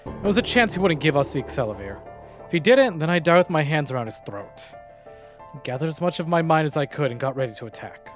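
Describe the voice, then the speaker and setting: A male streamer reading a visual novel aloud with dramatic, expressive narration. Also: a little high, fast talker. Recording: game music playing behind.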